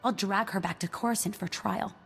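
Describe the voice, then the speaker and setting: A woman's voice: mid-range voice, steady tone, fairly quick, creaky, fairly bright tone, soft background music. A woman playing a vengeful young bounty hunter in a video game, intense, accusing and resolute.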